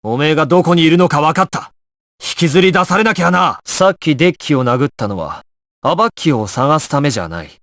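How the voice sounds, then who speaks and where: A man's voice: mid-range voice, no echo, studio quality, natural voice. A male actor playing a resolute, composed young gangster squad leader in an anime video game, terse and authoritative in his clipped orders. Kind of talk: Japanese anime game voice acting, dramatic.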